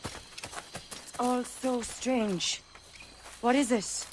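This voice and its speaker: A woman's voice: on the high side, steady tone, extremely slow, slight background noise. An actress playing a plucky, curious young pirate woman with an Irish accent in a video game. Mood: awed curiosity.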